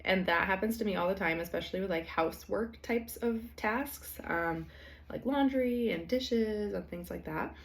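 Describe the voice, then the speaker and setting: A young woman hosting a solo podcast, slightly hoarse from allergies, talking in a casual, chatty way with frequent fillers. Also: medium pitch, moderate pace. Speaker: podcast host. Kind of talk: solo podcast talk on productivity.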